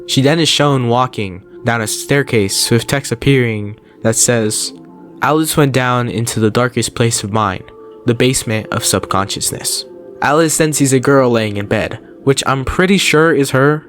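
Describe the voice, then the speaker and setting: A man's voice: medium pitch, moderate pace, a bit bright. Man narrating a horror recap over music. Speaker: video narrator, host. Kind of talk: scripted recap commentary on horror videos. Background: background music.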